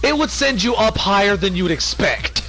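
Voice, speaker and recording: A high-voiced man talks eagerly about a game, building up each story with teasing questions. His tone is casual and keen. Faint music plays under him. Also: expressive, normal speed, creaky, pushed and bright, rough recording. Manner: eager storyteller, keeps asking "you wanna know". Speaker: gamer chatting on a livestream. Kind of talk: casual chat.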